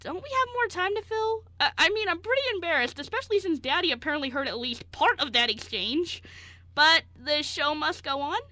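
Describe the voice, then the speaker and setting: A woman playing a cheeky, defiant eighteen-year-old queer young man in an amateur radio play, arguing in an animated way. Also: high voice, fairly quick, no echo. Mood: flustered. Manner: animated, argumentative.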